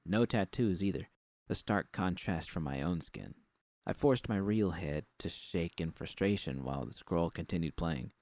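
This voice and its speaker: A man's voice: low-pitched, animated, moderate pace, a little mellow, no room sound. A man reading a scripted first-person story as narrator.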